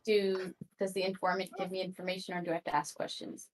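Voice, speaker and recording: A woman's voice: fairly low pitch, little pitch movement, fast, a little hiss or hum, poor audio. A woman chatting casually as a player in a tabletop roleplay game.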